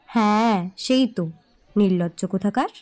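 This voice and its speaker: A woman's voice: mid-range voice, a little slow, a little mellow, lightly acted. An actress playing a coy young woman in love in an audio story, teasing and playfully scolding.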